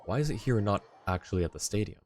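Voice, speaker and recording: A man's voice: fairly low pitch, lively intonation, racing, a little mellow, soft background music. A man livestreaming a game, giving casual commentary as he plays. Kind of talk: casual game stream commentary, reading dialogue along.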